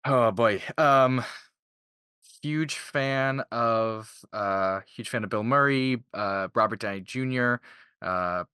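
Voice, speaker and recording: Male interview guest, informal and keen, crisp forward tone, very dry sound. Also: medium pitch, slow pace, bright, forceful tone, no echo. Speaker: interviewed voice actor. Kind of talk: casual interview chat.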